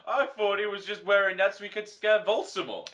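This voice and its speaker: A man's voice: high voice, fairly even intonation, brisk, highs cut off. A man reading and bantering in an exaggerated style among friends, with erratic dramatic delivery. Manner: erratic, bursts into exaggerated shouts. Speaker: player in a dramatic reading game.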